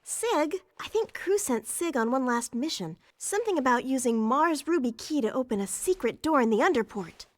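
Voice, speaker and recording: A woman's voice: high voice, lively intonation, normal speed, few pauses, dry recording. A woman playing a friendly young female ally in a video game cutscene. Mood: earnest.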